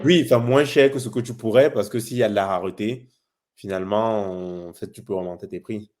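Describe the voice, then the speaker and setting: A man's voice: fairly low pitch, a little fast, darkish tone. A man speaking casually as a business adviser, putting questions to someone.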